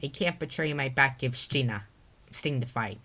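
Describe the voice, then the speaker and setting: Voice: medium pitch, moderate pace, pushed and bright, natural voice. A person voicing a bold, stubborn soldier father in a storybook, declaiming with defiant emphasis.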